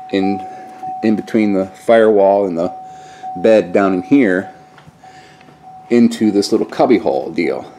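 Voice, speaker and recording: A middle-aged man giving a casual hands-on walkthrough in a folksy, colloquial way, recorded on a handheld camera. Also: on the low side. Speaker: DIY vlogger. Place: in and around a pickup truck at a garage. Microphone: handheld camera mic. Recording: noisy handheld camcorder audio.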